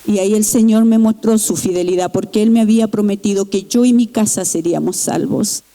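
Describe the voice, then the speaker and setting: A middle-aged woman from Chile, giving an earnest, halting personal testimony in church with pauses and restarts. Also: medium pitch, mellow. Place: church sanctuary.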